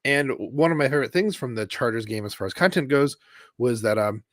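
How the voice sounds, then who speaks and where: A man's voice: medium pitch, expressive, brisk, dry recording, clean studio sound. A man co-hosting a casual football chat, rambling with lots of fillers but enthusiastic. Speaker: podcast co-host.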